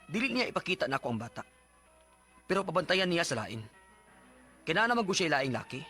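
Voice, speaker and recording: A man's voice: on the high side, very animated, a little fast, pushed and bright, soft background music. A man acting an exasperated, short-tempered young father in a radio drama, with a Cebuano Filipino accent. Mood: aggrieved.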